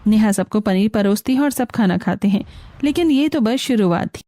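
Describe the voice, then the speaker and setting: A woman's voice: medium pitch, expressive, normal speed, dark tone, dry recording, studio quality. A woman reading scripted narration for a cartoon family story.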